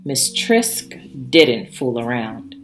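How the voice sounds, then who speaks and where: A woman reading a children's story aloud in an expressive, emphatic way, pausing for drama between short phrases. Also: rumbling low. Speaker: storybook reader. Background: background music.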